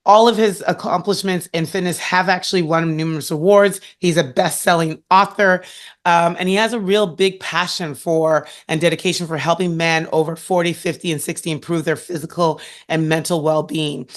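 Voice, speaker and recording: A woman hosting a podcast, introducing her guest in a bubbly, laughing, animated way with a husky voice. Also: fairly low pitch, moderate pace. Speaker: podcast host. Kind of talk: energetic interview intro.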